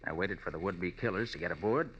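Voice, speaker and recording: An American actor playing a confident, clever master detective in vintage radio drama. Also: male voice, deep voice, fast, natural voice. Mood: assured. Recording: muffled, hissy old radio recording.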